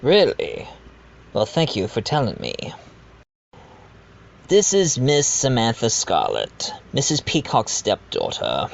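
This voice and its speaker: A man's voice: medium pitch, huge pitch swings, slow pace, gravelly, long pauses, full character voice. A man playing a genial widowed doctor who hosts and narrates a murder-mystery audio drama in a chatty, slightly stumbling way, on a dull home recording. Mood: friendly. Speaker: narrator and host of the dinner party. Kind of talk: fan audio drama acting with narration asides.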